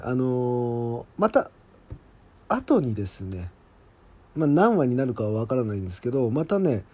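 Male podcast host and scriptwriter, soft mellow tone, mid pitch, chatty admiring solo commentary. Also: husky. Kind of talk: casual solo behind-the-scenes commentary.

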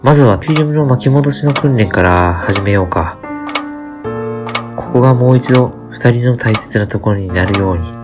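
A man playing a calm, fatherly mentor figure in a radio drama. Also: on the low side, moderate pace, lightly acted. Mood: calm, measured. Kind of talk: amateur radio drama acting. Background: music with guitar.

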